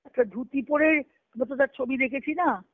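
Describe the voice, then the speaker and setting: Over a narrow, tinny phone connection with no room sound, a woman speaks. She reads aloud from letters and explains them like a teacher, often repeating a phrase to make it clear. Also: high voice, moderate pace, no echo. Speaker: spiritual discussion speaker. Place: speaking on a group conference call. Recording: tinny, band-limited conference phone line.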